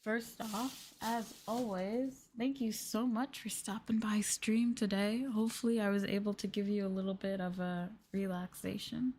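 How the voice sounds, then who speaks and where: A woman streamer talking softly and gently in a casual ASMR style, chatting with her viewers, sounding a little sleepy. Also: medium pitch, steady tone, normal speed, hardly any pauses, a little hiss or hum. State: sleepy, winding down. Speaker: virtual streamer hosting a live stream. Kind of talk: ASMR soft-spoken casual chat with viewers.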